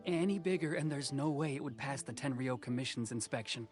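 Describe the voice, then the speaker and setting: Earnest young male craftsman acted by a man, medium pitch, polite, modest, video game, faint music behind. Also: brisk, natural voice. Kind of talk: video game character acting.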